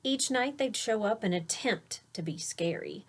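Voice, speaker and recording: Slow-paced woman reading a storybook to children. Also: mid-range voice. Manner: expressive, emphasizes rhymes and key words, explains words simply for young listeners. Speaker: teacher reading a picture book aloud to children. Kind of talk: storybook read-aloud with simple explanatory asides.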